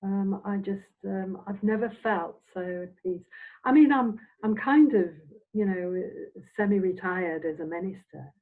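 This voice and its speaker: An older woman from Scotland, a semi-retired minister, reminiscing in a halting, meandering, reflective way as an interview guest. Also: mid-range voice. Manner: halting, meandering delivery with trailing phrases. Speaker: interviewed Spiritualist minister and medium. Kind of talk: reflective, anecdotal chat. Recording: dull, phone-like audio.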